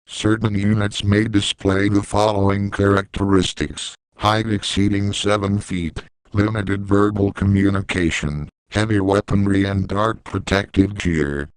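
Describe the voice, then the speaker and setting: A man reading a scripted emergency alert as an announcer, formal, detached and measured. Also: lowish voice, clear, resonant tone, no room sound, rough recording.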